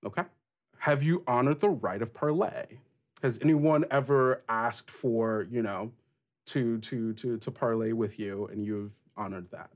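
A man acting as game master, narrating and questioning players in a tabletop role-playing game with deliberate, halting phrasing. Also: medium pitch.

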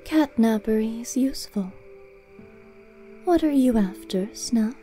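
A woman voicing a sly, poised female cat familiar in a storybook reading, coy and measured. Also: a little high, lively intonation, dark tone, faint music behind, voice pushed up. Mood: coy, composed.

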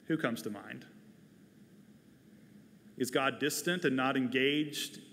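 A man's voice: medium pitch, slow, a bit bright, slight background noise. An American man in his forties, a pastor delivering a sermon from a church pulpit. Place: large church sanctuary, at a wooden pulpit. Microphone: lapel mic. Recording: reverberant church hall.

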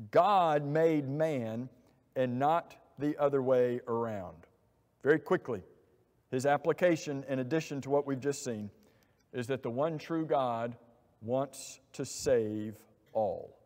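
A middle-aged man preaching a sermon from a church pulpit, animated and asking many rhetorical questions. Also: fairly high pitch. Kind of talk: expository sermon. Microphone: lapel mic. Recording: long hall reverb.

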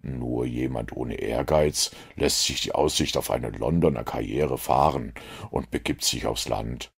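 A man voicing a self-assured, analytical detective in an audiobook, with a gravelly, husky voice and a measured, lecturing manner. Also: rumbling low, heavily acted. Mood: smug, assured. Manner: measured, didactic, superior. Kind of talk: audiobook character reading.

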